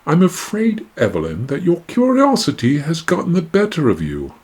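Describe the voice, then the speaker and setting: A man's voice: a little high, huge pitch swings, normal speed, a little mellow, slightly rough, full character voice. A man playing a cold, smug, menacing husband in a noir radio play, condescending and polite. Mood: smug menace.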